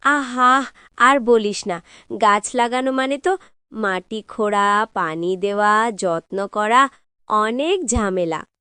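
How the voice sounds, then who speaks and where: A woman's voice: mid-range voice, slow, sharp tone, clean tone, dry recording. A woman voicing a lazy, grumbling young schoolboy in a children's cartoon.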